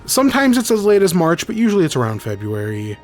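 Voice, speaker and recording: A man's voice: high voice, huge pitch swings, fast talker, a little mellow, faint music behind. A young man hosting a gaming podcast in a casual, rambling way, congested from a cold with a scratchy throat. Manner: rambling, self-correcting. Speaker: podcast host. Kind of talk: casual livestream chat.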